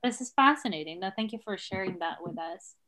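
A woman's voice: medium pitch, animated, brisk, a bit bright. A woman interviewing a guest, asking questions with hesitant fillers.

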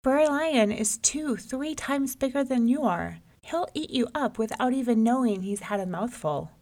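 A woman's voice: a little high, animated, measured pace, darkish tone, pitched above the performer's own voice. A woman voicing a group of frightened animal folk in a folktale reading, asking anxious questions. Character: crowd of frightened forest animals.

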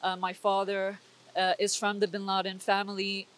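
A woman's voice: mid-range voice, completely flat delivery, normal speed. A young woman from Switzerland, speaking as an interview guest with intense, urgent political commentary and frequent restarts. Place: conference venue.

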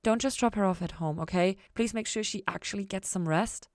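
A woman narrator voicing a perky, warm, outspoken young female scientist in an audiobook story, emphatic and slangy. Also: mid-range voice, fairly quick, dry recording, studio quality, voice pushed up, natural voice. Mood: urgent, concerned.

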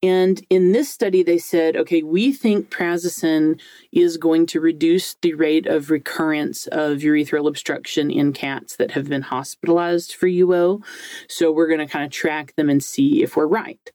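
A woman with a low-set voice talks as a podcast co-host, recorded close and dry. Her delivery is informal and chatty, with a joking, mildly sweary streak. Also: steady tone, normal speed, dark tone, no echo. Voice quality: deep, low-range voice for a woman. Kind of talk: casual, chatty podcast talk.

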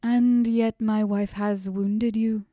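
A woman voicing a grieving, halting father. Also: medium pitch, flat delivery, warm tone, no echo, studio quality, lightly acted. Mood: ashamed. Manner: halting, formal speech. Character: grieving middle-aged father, proud host.

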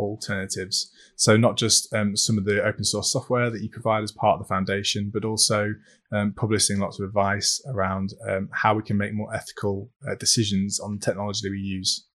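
Lowish-voiced man hosting a podcast. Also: flat delivery, fairly quick, fairly bright tone. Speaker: podcast host, interviewer.

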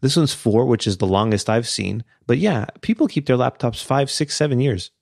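A man's voice: medium pitch, animated, moderate pace, gravelly, a little mellow, no room sound, studio quality. A man co-hosting a tech podcast, laughing easily and restarting his sentences. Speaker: podcast co-host. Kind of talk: casual tech chat.

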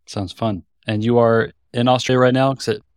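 A man's voice: medium pitch, fairly even intonation, normal speed, clear, resonant tone, dry recording. Man hosting, dry studio sound. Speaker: podcast co-host, senior developer. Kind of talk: scripted intro and casual interviewing.

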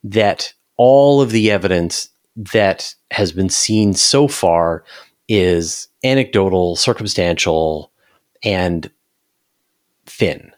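A man's voice: low voice, slow pace. A man talking casually about science as an interview guest, emphatic and repeating words to drive points home.

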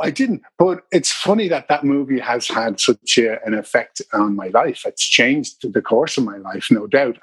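A middle-aged Irish man, a bass player guest, telling stories casually in an interview with many restarts and fillers. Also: husky, no echo, low-quality recording. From Ireland.

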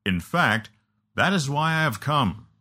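A man voicing a huge, brash and genial warrior with a warm rumbling voice in an audiobook, courteous but needling. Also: big pitch swings, unhurried, full character voice. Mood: confident, placating. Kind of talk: audiobook character dialogue.

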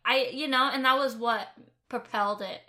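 A woman's voice: a little high, fairly even intonation, moderate pace, bright, forceful tone. A young woman from the United States hosting a casual explainer vlog, chatty and rambling with many restarts. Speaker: host.

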